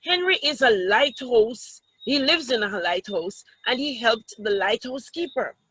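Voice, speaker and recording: A woman with a Jamaican accent reading a story aloud to children, expressive and teacherly, with asides to the listeners. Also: a little high, unhurried, slightly rough, no room sound, poor audio.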